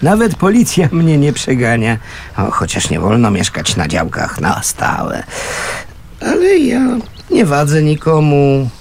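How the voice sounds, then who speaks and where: A kindly, scrappy vagrant man in a radio play. He drawls slowly in a gravelly, mid-pitched voice, wry and colloquial. The recording carries a faint noise. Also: wildly swooping pitch, heavily acted. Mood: content, wry. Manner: colloquial, gruff but gentle, wry. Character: kindly, scrappy homeless man who scavenges junk.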